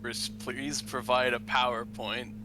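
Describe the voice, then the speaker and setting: A man's voice: mid-range voice, flat delivery, takes it slowly. A man on voice chat tossing out dry, teasing jabs in casual talk. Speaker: gaming friend on voice chat. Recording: noisy voice chat audio.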